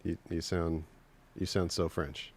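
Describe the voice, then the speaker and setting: A man's voice: low-pitched, a little slow, crowd noise. A man from California with a Californian accent, a musician chatting casually and playfully while mixing on a live stream. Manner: relaxed, playful, joking.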